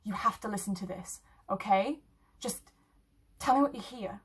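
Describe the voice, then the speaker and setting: Woman voicing an excitable, uneasy teenage girl, slightly husky. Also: mid-range voice, normal speed. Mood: eager, insistent. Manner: hesitant, casual slangy speech.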